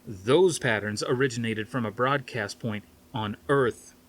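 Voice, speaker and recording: A man reading a storybook as an excitable, insistent male researcher with a loud, eager, emphatic delivery. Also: mid-range voice, a little slow. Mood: eager, smug.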